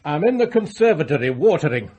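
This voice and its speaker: A man's voice: on the high side, big pitch swings, quick pace, dark tone, slight background noise. An actor playing a rich, lordly older English magnate in a radio play, pompous and pontificating to his servant. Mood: imperious.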